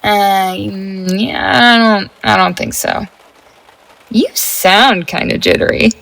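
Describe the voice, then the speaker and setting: A woman playing a flippant, stubborn teenage gang member in a 1950s audio drama, with an offhand, halting delivery. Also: mid-range voice, vocal fry, fairly bright tone, low-quality recording.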